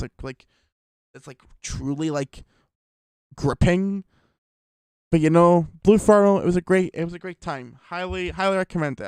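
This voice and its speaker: A man's voice: fairly high pitch, darkish tone, clean tone, dry recording. A man giving a casual, rambling film review, full of fillers and restarts.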